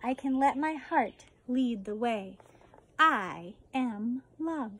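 An American woman in her forties, a teacher reading a children's picture book aloud outdoors with deliberate, expressive phrasing. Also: on the high side, slight background noise. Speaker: elementary school teacher reading a story. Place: outdoors on a residential deck.

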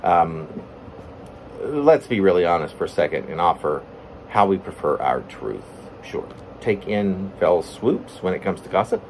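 A middle-aged man giving an informal reading of his own poem, halting and breaking into his own lines with asides. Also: low voice. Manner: halting, self-interrupting delivery. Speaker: poet reading own work. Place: plain room at home, indoors. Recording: steady room hum, air conditioning.

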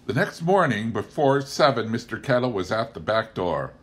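A middle-aged man reads a novel aloud in a measured, deliberate storytelling way. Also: mid-range voice, sing-song. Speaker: audiobook reader. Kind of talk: scripted narration of a novel.

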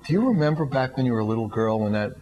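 Man, talk show host, casual, jokey interjections, very expressive pitch. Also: dull audio. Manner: joking, quick interjections.

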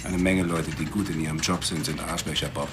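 A man's voice: very deep voice, fairly even intonation, a little fast, noisy background. An actor playing a brash, cynical male newspaper boss with a blunt, crude manner in a German radio play.

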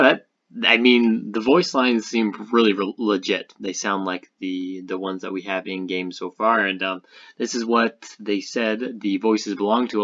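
A man's voice: lowish voice, fairly bright tone, lo-fi sound. A man hosting a gaming video with casual, rambling news and speculation commentary. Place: indoor voice-over on screen recording.

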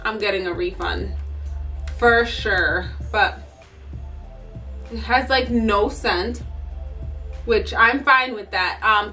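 A woman's voice: on the high side, bright, forceful tone. A woman in her thirties chatting casually and tiredly to camera in a bedroom vlog, with lots of ums and likes. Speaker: vlogger. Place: bedroom at home.

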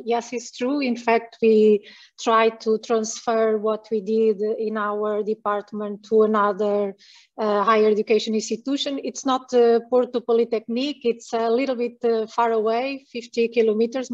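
Woman on a muffled distant video call. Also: a little high. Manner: measured, hesitant with fillers. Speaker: panelist. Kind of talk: online panel discussion.

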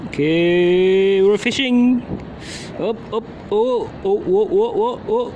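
A man's voice: sits high, slow, warm tone, lo-fi sound. A man vlogging casually, muttering to himself in short bursts and exclamations as he does a task. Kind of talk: casual self-narration during a task. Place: outdoors on a tiled home balcony. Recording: clear ambient outdoor noise, passing vehicles.

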